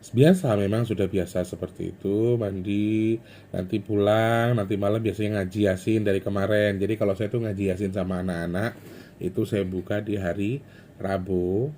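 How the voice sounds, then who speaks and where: A man talks in a low, warm voice with a faint hiss of noise behind him. He rambles casually to his viewers and drops into phrases as he goes. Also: fairly even intonation, moderate pace. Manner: loose and chatty, slipping into Javanese phrases. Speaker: spiritual content vlogger.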